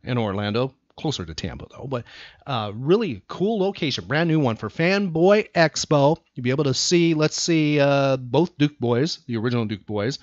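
A man hosting a podcast in casual banter with a co-host, talking in an animated, teasing way and repeating himself for emphasis. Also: medium pitch, normal speed, no room sound, lo-fi sound.

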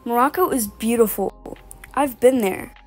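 A woman's voice: on the high side, a little slow, darkish tone, soft background music. A young woman voicing a confident, popular teenage girl who talks sweetly and a little bossily.

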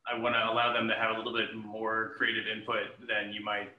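A man's voice: fairly low pitch, monotone, fairly quick, echoey room, highs cut off. A man in his thirties speaking casually as an interviewed guest on a video call from a room at home. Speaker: interviewed theatre director and performer. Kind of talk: casual panel discussion. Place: living room at home, indoors. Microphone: computer mic at a short distance, video call.

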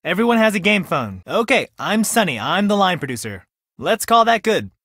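An actor playing a brisk, eccentric reality show producer in a video game, giving clipped instructions. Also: male voice, high-pitched, sing-song, fairly bright tone, no echo, clean studio sound, exaggerated voice. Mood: brisk, instructive. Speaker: line producer.

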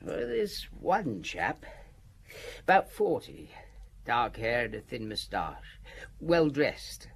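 An actor playing a shifty, cheeky lowlife informant in a radio drama, husky and colloquial. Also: male voice, huge pitch swings, slow pace, long pauses, exaggerated voice. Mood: cagey.

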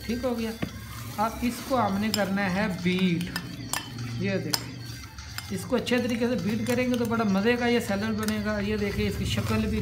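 A woman cooking at home and explaining a recipe step by step, chatty and repeating each step as she goes. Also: mid-range voice. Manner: chatty, repeats steps, admiring the food. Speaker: home cook. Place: home kitchen table, indoors. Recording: roomy with loud background noise.

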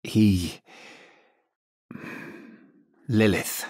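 Deep, husky, grim necromancer. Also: male voice, steady tone, very slow, a little mellow, no room sound, clean studio sound. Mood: grave, brooding. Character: grim, resolute male necromancer of dark fantasy. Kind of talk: dramatic video game character lines.

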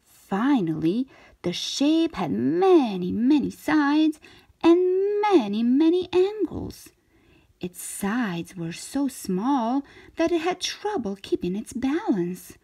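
A woman narrates a picture book for young children in a fairly high voice with a slightly breathy edge. She phrases deliberately and dramatically, with a lively rise and fall. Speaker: children's storybook reader. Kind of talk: expressive scripted read-aloud narration.

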